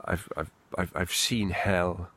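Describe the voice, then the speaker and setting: A man's voice: low voice, steady tone, slow pace, fairly bright tone, husky, dry recording. A Welsh man rambling candidly and swearing freely in an unscripted monologue, recorded outdoors in the wind. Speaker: vlogger.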